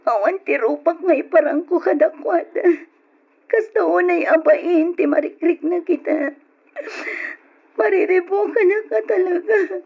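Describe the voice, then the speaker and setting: A woman's voice: extremely high pitch, a little slow. An actress with an Ilocano accent playing a remorseful, pleading wife and mother in an old radio drama. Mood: despairing, remorseful. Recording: dull lo-fi old radio recording.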